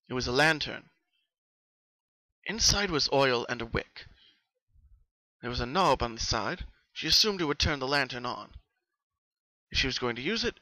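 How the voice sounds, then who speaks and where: A man narrating a story as a dramatic reading, with expressive, suspenseful delivery. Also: mid-range voice, no echo, low-quality recording. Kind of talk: dramatic fan fiction reading.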